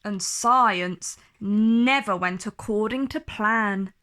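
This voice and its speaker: A woman reading a children's storybook aloud in an expressive, deliberate storytelling manner. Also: medium pitch. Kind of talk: scripted storybook narration read aloud.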